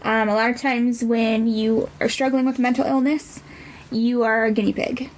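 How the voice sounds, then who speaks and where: Even-toned, higher-voiced woman explaining directly. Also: moderate pace, low-quality recording. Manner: direct, matter-of-fact. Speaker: guest from a suicide-loss nonprofit. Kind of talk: explanatory interview answer.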